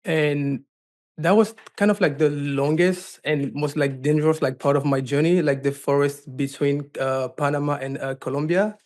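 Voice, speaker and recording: A man with a Cameroonian accent telling a personal story as an interview guest, haltingly, often dropping in "like". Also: fairly high pitch, steady tone, darkish tone. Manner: halting, frequent "like" as filler. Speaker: podcast guest, life coach and advocate.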